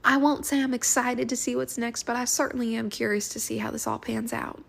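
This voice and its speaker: A woman's voice: a little high, fairly even intonation, fairly quick, vocal fry, a bit bright. A woman recording a listener voice message with reflective fan commentary.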